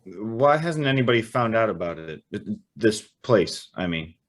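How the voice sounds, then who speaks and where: A man's voice: fairly low pitch, lively intonation, normal speed. Man as awestruck, naive young guest. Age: young adult. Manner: wondering, hesitant, stammering. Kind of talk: old-time radio drama acting.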